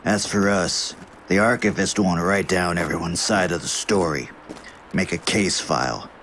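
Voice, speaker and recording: A man's voice: sits low, measured pace, a bit bright, a little hiss or hum. A man playing a pragmatic, authoritative adviser in an audio drama. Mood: measured. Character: pragmatic, authoritative man planning a prisoner's trial.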